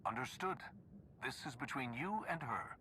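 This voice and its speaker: An actor playing a calm, serious male hero in a game cutscene, with a measured, formal, probing manner. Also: mid-range voice, slight background noise. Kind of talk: scripted game cutscene acting.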